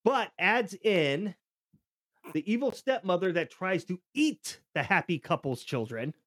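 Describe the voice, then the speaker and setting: A middle-aged man hosts a podcast, telling stories casually. His voice sits a little high and he often restarts and repeats words. The sound is very dry. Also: very animated, a little slow, a bit bright, no room sound. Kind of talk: casual conversational storytelling.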